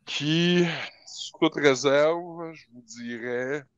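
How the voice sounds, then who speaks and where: A man's voice: fairly high pitch, drawn-out words, clean tone, dry recording, highs cut off. A man with a Quebec French accent in a casual group chat, speaking colloquially and dropping in English phrases. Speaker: panelist.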